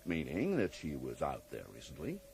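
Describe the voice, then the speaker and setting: An actor playing a cagey, drily amused federal agent in a radio drama, speaking with polite, evasive hesitations. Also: male voice, rumbling low, wildly swooping pitch, normal speed, a little mellow, slight background noise, exaggerated voice. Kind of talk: 1980s radio drama acting.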